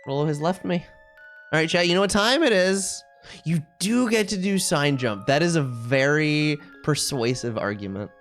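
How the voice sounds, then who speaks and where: A video game streamer chatting casually with viewers on a live stream, rambling and thinking out loud. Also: mid-range voice, moderate pace, faint music behind.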